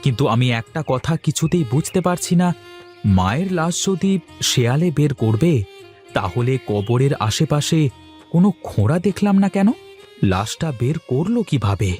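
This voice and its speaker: A man's voice: fairly high pitch, lively intonation, normal speed, a little mellow, faint music behind, close to an everyday voice. A man voicing a fretful, bewildered village man in a cartoon horror story, speaking urgently and full of questions. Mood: puzzled, insistent. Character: Mahesh, agitated, bewildered village man grieving his mother.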